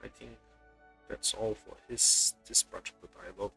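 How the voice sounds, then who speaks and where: A man's voice: on the low side, steady tone, moderate pace, gravelly, bright, forceful tone. A man streaming a video game live, giving casual commentary as he plays. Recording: game music behind the voice.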